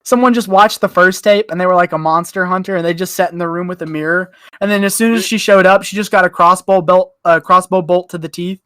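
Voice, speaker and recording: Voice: medium pitch, steady tone, fast, few pauses, a bit bright, dry recording. A person chatting casually on a podcast panel, riffing and speculating in a joking, rambling way. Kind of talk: casual joking group chat. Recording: close dry voice over guitar music. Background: guitar music.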